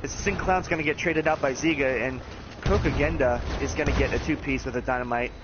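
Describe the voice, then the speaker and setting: A man giving play-by-play commentary on an online match, talking fast with the odd chuckle. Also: mid-range voice, highs cut off, background noise. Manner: rapid, animated play-by-play with chuckles.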